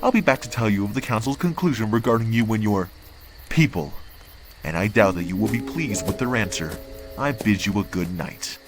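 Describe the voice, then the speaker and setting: A man's voice: fairly low pitch, animated, a little fast, music in the background, lightly acted, poor audio. A man playing a cold, disdainful council envoy, speaking formally and condescendingly in a comic dub. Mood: threatening. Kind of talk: amateur comic dub acting.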